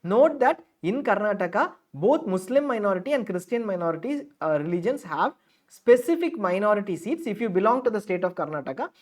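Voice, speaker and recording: Fast-talking woman explaining a form. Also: mid-range voice, lively intonation, darkish tone. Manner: explanatory, repeats and rephrases points with frequent fillers. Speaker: counselling guide presenter. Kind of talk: screen-share tutorial walkthrough.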